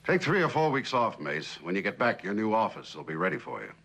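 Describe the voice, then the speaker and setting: A blunt company boss in middle age from a 1960s television drama. His low voice is gravelly and swings widely as he needles with dry sarcasm. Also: sing-song, fairly quick, fairly bright tone, full character voice. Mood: wry, grudging. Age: middle-aged. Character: sardonic, blunt company boss with grudging respect. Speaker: actor playing a company boss.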